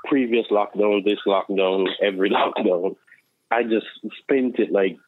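A man, a social worker, talking about himself in a casual chat with a deep voice, earnest and self-assured, full of "like" and "you know". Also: unhurried, dry recording. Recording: tinny phone-like line, dry.

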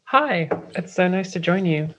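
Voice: mid-range voice, lively intonation, measured pace, lo-fi sound, slight background noise. A guest from London, a writer and editor, explaining ideas in a loose, meandering way full of "um" and "like" and light laughs. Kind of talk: casual remote interview explanation.

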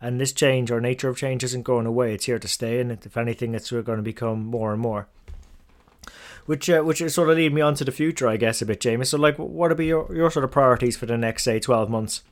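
A man's voice: medium pitch, fairly quick. A man hosting a business interview, agreeing often and asking long reflective questions. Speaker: interview host.

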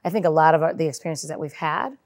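A woman moderating a panel discussion, asking earnest, measured questions. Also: low voice, darkish tone.

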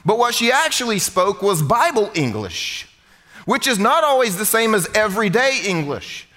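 A middle-aged pastor preaches with a bright, somewhat high voice. He jokes with the congregation and keeps his delivery conversational in a church hall. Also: very animated, normal speed. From United States. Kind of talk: sermon.

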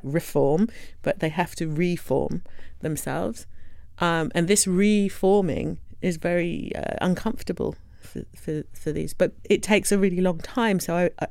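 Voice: mid-range voice, vocal fry, darkish tone, smooth, no echo, clean studio sound. Thoughtful speaker reflecting on art spaces. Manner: thoughtful, searching, with restarts. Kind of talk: reflective discussion.

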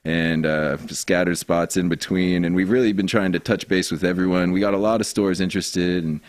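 Relaxed young man with a lowish voice. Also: normal speed, dry recording. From Seattle area. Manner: relaxed, laughs often, lots of fillers. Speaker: guest, company representative. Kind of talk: casual chat.

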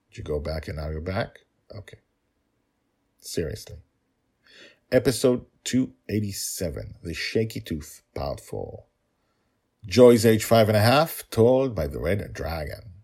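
A middle-aged man hosting a storytelling podcast, talking and narrating in an emphatic, playful way with deliberate repetition. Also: deep voice, darkish tone, slightly rough. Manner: emphatic, playful, repeats himself for effect. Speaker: storytelling podcast host and author.

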